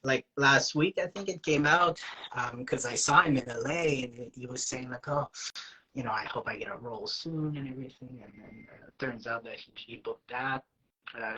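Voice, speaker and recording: Husky francophone man telling stories. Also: medium pitch, normal speed, low-quality recording. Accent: French Canadian. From Canada. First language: French. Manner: animated, acts out quoted lines, many fillers. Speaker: guest, aspiring actor. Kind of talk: casual storytelling.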